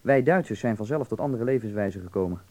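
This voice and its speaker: A man's voice: on the low side, lively intonation, quick pace, dark tone, close to an everyday voice. An actor playing a worldly young man from Berlin in a radio drama, candid and insistent yet reassuring, explaining patiently and earnestly. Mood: explaining, proud.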